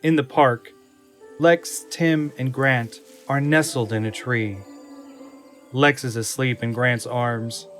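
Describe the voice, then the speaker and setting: A male narrator describes scenes from a script in a middle-pitched voice. Faint music plays beneath him, as in an audio drama. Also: fairly even intonation, slow pace, fairly bright tone.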